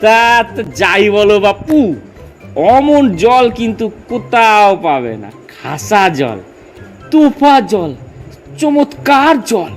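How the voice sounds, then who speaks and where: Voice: medium pitch, big pitch swings, slow, soft background music. A performer in a comic audio drama playing cantankerous, boastful village men who quibble pedantically and argue emphatically. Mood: curious, boastful. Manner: argumentative, emphatic, rambling lists.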